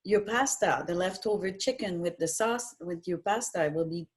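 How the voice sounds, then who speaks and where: A middle-aged woman whose first language is French, chatting as a guest cook, halting and restarting her sentences as she searches for words. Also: lowish voice, husky. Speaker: schoolteacher. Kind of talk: casual interview chat.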